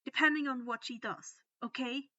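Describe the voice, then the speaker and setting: A woman's voice: high-pitched, steady tone, moderate pace, bright, forceful tone, lo-fi sound. A woman voicing a loyal, cautious teenage boy sidekick in a storybook reading, wary and concerned.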